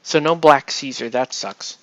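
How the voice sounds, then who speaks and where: A man chatting casually on a livestream while he paints miniatures, rambling and excitable, often breaking off mid-sentence. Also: mid-range voice, measured pace, lo-fi sound. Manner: rambling, excitable, self-interrupting with laughs.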